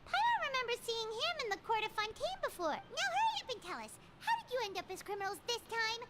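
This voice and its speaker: A woman voicing a tiny, excitable, childlike fairy companion in a video game, squeaky and exclamatory. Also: fast, very noisy. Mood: indignant. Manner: speaks of self in third person, exclamatory. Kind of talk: video game character acting. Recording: game music behind the voice.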